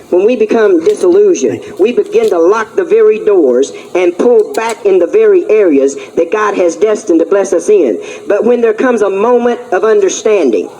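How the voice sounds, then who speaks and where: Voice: mid-range voice, dark tone, low-quality recording. A preacher delivering a fervent sermon, emphatic and repetitive, with rousing call-outs to the congregation.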